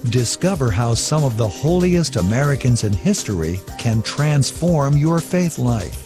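Guy doing a radio ad read, medium pitch, music playing under it. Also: sing-song, unhurried. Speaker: radio promo announcer. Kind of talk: scripted promotional narration.

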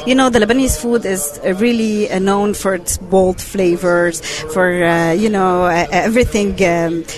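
A woman's voice: mid-range voice, fairly even intonation, normal speed, over music. A woman with a French Canadian accent, a festival kitchen volunteer, talking proudly and searching for her words. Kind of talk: casual interview.

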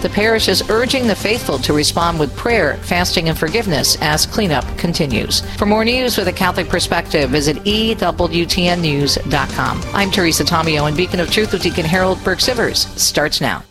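A woman reading a scripted news bulletin on the radio in a steady, measured way. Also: on the low side. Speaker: news reader and radio host. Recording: music bed behind the voice.

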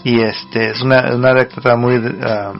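A male radio host with a mid-range voice, talking in a loose, rambling way with frequent fillers and laughs. The broadcast sound is muffled and lo-fi, with soft music in the background. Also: mid-range voice, level tone, moderate pace, creaky. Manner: chatty, rambling, laughs often, hesitant fillers. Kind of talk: casual radio chat.

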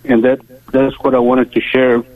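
A man calling into a prayer radio show, speaking slowly and devoutly about scripture, with many pauses. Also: medium pitch, little pitch movement, a little mellow. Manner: slow, deliberate, devout, pauses often. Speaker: caller. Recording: tinny phone line.

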